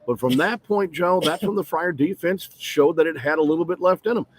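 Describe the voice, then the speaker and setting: A man's voice: fairly high pitch, a little fast, a little hiss or hum. A man working as a play-by-play broadcaster, giving a detailed radio postgame recap full of scores and stats. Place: courtside broadcast position at an arena.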